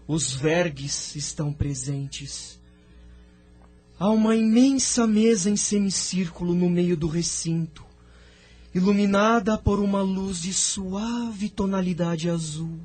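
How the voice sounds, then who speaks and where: Slow, high-voiced solemn male seer played by an actor. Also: a little mellow. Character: male visionary seer recounting his world's past, solemn and sorrowful. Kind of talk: audio drama narration.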